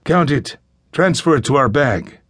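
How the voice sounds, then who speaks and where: A man's voice: medium pitch, lively intonation, measured pace, a bit bright, no echo, clean studio sound, pitched above the performer's own voice. A man voicing an arrogant, taunting kidnapper gang leader in Spanish-accented English for an audiobook. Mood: arrogant, mocking. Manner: taunting, sneering.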